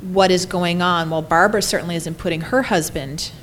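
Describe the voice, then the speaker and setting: A woman speaking as a panel presenter, answering audience questions in a halting way with pauses mid-sentence. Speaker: panel presenter, care staff leader. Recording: steady loud background noise, low rumble.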